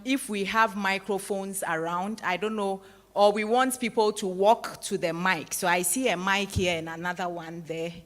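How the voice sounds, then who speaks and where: Woman from ghana running the q&a at a lecture, slow and measured, long echo in the hall. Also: lowish voice. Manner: measured, formal, appreciative summing up. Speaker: lecture moderator. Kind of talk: public lecture moderation. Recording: echoey hall with long reverb.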